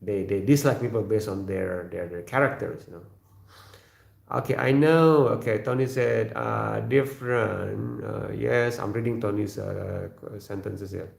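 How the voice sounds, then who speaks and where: Gravelly Indonesian-accented man, echoey room. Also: on the low side. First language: not English. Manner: halting, repeats words, many fillers, clears throat often. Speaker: livestream host. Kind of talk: casual live chat reading viewer comments.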